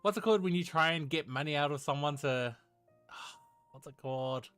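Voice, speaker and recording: A man's voice: a little high, moderate pace, a bit bright, clean tone. A man streaming a game with casual, joking reaction commentary and a giggly manner. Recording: faint game music behind the voice.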